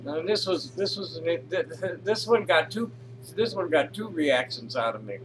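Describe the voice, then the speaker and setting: A man's voice: fairly high pitch, expressive, normal speed, background noise. A man casually reading posts aloud and commenting on them, rambling with frequent restarts and fillers. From North America. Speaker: reader of social media posts.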